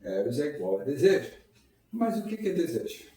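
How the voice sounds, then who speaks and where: A man's voice: on the high side, very animated, brisk, dark tone, a little hiss or hum. A man giving an informal public lecture at a spiritist center, talking conversationally and putting rhetorical questions to the audience. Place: lecture hall. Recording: echoey hall, mic far off.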